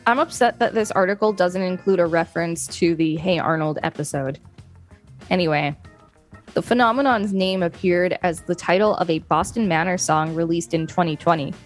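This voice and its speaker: Woman, lowish pitch, podcast co-host, article read-aloud, background music. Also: moderate pace. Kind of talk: reading an encyclopedia article aloud.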